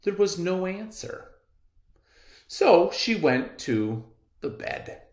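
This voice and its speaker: Very animated man reading a children's story. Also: sits high, slow. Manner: theatrical, emphatic phrasing. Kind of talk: animated children's read-aloud. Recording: roomy, echoey indoor recording.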